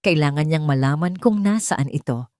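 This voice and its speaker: A woman's voice: deep voice, moderate pace, darkish tone, no echo, clean studio sound. A woman narrating a scripted love story in a dramatic storytelling style.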